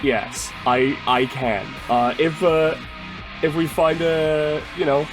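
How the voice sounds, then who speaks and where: A man's voice: a little high, fairly even intonation, takes it slowly, music in the background, poor audio. A man playing a tabletop role-playing game, chatting casually with hesitant, stop-start phrasing.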